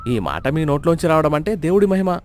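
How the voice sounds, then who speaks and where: A man's voice: fairly high pitch, sing-song, a little fast, darkish tone, slight background noise. A man voicing a temple priest who speaks in a formal, wondering way. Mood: astonished.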